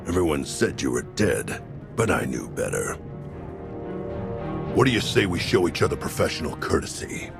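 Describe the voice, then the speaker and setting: Gruff sardonic ex-soldier smuggler boss, super low growly game villain, music under it. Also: male voice, very animated, moderate pace, long pauses, heavily acted. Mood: sardonic, threatening. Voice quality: deep, gravelly. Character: gruff male ex-soldier arms dealer, menacing and sardonic. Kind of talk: video game voice acting. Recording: music behind the voice.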